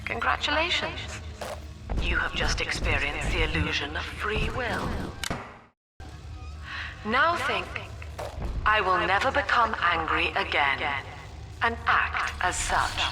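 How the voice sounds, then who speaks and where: A woman's voice: on the low side, very animated, over music. A woman playing a cold, cerebral ideologue in a game, delivering a detached philosophical lecture. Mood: cold, didactic. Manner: measured, didactic, detached. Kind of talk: scripted philosophical monologue.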